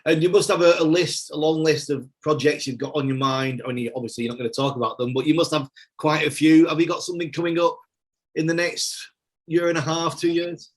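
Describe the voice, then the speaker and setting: A man's voice: on the high side, fairly even intonation, a little fast. A man from Greater Manchester hosting an interview, friendly and affirming, asking short questions. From Greater Manchester, England. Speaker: interview host.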